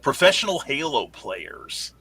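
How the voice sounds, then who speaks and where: A man's voice: mid-range voice, big pitch swings, unhurried, pushed and bright, husky, rough recording. A man chatting casually on a convention panel, wry and self-deprecating, joking about getting older. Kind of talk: casual chat.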